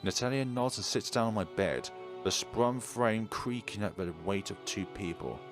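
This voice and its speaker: A low-voiced man reads story narration aloud as a let's player. He delivers it like a dramatic storyteller, with faint music playing behind him. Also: normal speed. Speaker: let's player reading a visual novel aloud.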